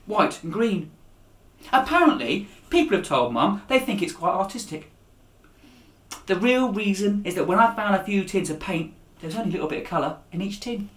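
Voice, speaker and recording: A man reading a children's story aloud as its author, with a dramatic, expressive delivery that rises and drops to a hush. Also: high voice. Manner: dramatic, with raised voices for dialogue and hushed endings. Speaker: author reading his own children's book. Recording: echoey room.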